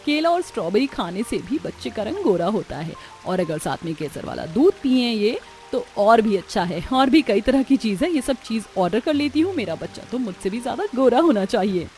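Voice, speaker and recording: Spiteful young sister for a cartoon played by a woman: high, animated delivery, sneering and snappish. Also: moderate pace, hardly any pauses, soft background music. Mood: smug. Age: young adult. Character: haughty, spiteful fair-skinned young sister. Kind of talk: Hindi cartoon story acting.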